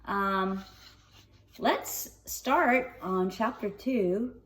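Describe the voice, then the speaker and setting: A woman narrates a children's book in an expressive storytelling style at a medium pitch. She slows for dramatic phrases and sits a little way from the mic. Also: distant mic, a little hiss or hum. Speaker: storybook reader. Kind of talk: children's read-aloud narration with casual asides. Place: sunroom at home.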